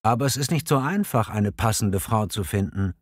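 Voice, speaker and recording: An actor plays a hesitant, choosy bachelor in a language-lesson dialogue. He talks reflectively, with clear articulation and a widely moving pitch. The recording is dry. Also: lively intonation, normal speed, no echo. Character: hesitant, choosy single man who loves art. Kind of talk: scripted language-learning dialogue, clearly enunciated.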